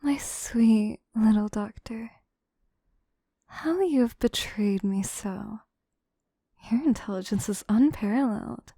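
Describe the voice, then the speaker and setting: Casting: actress as possessive, menacing female yandere for audio drama; higher-pitched, very unhurried delivery, theatrical diction. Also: mellow, no room sound, clean studio sound. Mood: menacing, infatuated. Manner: theatrical, formal phrasing, slow and deliberate, dropping into whispers. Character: obsessive, possessive yandere assistant woman, scheming and menacing. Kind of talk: scripted audio roleplay, intimate monologue to listener.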